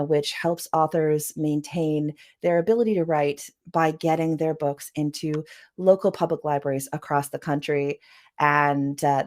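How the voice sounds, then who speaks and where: A Canadian woman with a Vancouver accent speaks in a low, easygoing voice. She is an author guest in a relaxed interview, and her talk rambles with fillers and quick laughs. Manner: chatty, laughs often, many "you know" fillers. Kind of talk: casual interview conversation.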